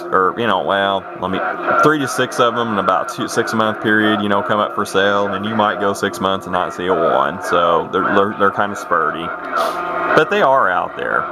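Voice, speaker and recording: A man giving a casual, unscripted product walkthrough as a hobbyist reviewer, rambling a little and repeating himself. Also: fairly low pitch. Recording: noisy amateur camcorder audio.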